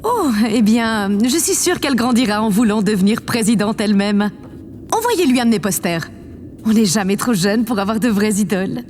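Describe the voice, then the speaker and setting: Self-important woman leader for sci-fi audio comedy, female actor: measured, patronising, middle register. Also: medium pitch, lively intonation, darkish tone, slight background noise, close to an everyday voice. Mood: smug. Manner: haughty, measured, condescending. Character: vain, condescending woman president of a space colony. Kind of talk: French audio comedy drama.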